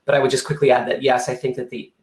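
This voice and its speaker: A man's voice: mid-range voice, little pitch movement, rapid-fire, echoey room. A man, a company co-founder, speaking earnestly on an online panel with frequent hesitations, over a video call. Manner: earnest, many fillers and restarts.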